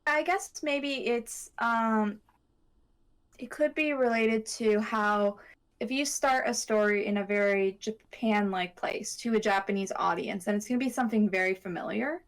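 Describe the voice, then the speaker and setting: A woman in casual conversation as a show panelist, piecing her thoughts together in halting phrases. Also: fairly high pitch, low-quality recording. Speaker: panelist on an anime discussion show. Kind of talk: casual chat.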